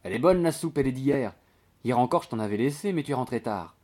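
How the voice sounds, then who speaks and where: A man's voice: medium pitch, animated, quick pace, darkish tone, voice pushed up, close to an everyday voice. A man voicing a gossipy, earthy, blunt servant woman from the country, with slangy grammar, in a novel reading. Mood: coaxing.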